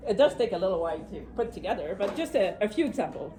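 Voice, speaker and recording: A woman with a French accent gives an informal live workshop as presenter, talking quickly and chattily with self-deprecating humor. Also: mid-range voice, sing-song, warm tone.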